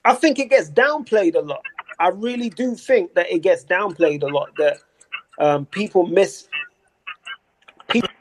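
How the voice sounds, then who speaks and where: A man's voice: high voice, expressive, a little slow, pauses often, rough recording. A man in his forties talking casually and earnestly in a remote conversation, rambling with restarts and slang. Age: 40s.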